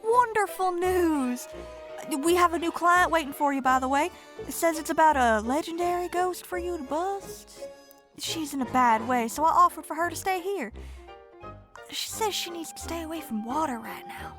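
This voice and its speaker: A woman playing a polite, patient receptionist with a deadpan customer-service manner in an audio drama. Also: extremely high pitch, normal speed, music in the background. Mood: wry. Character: polite, dryly sardonic female receptionist at a ghost-catching business.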